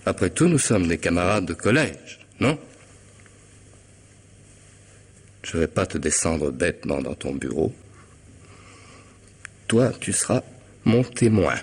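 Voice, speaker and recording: An actor playing a vengeful, mocking killer in a French radio drama, theatrical and menacing, moving between hush and outburst. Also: male voice, low voice, big pitch swings, measured pace, pauses often, exaggerated voice. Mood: mocking, commanding. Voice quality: husky, gravelly voice. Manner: theatrical menace, swinging from hushed to loud.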